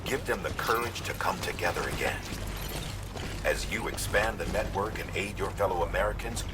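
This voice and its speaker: An actor playing a solemn, fatherly commander in a video game, speaking deliberately and encouragingly. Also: deep voice, far from the mic, noisy background, close to an everyday voice. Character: solemn, fatherly male commander rallying a courier. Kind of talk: scripted video game dialogue.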